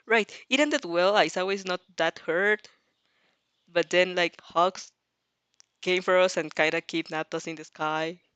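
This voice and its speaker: A woman's voice: medium pitch, fairly bright tone, clean tone, no room sound. A woman hosting a fan fiction reaction, reading aloud expressively and breaking into casual, rambling, giggly asides. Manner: rambling, giggly, often interrupts herself with asides.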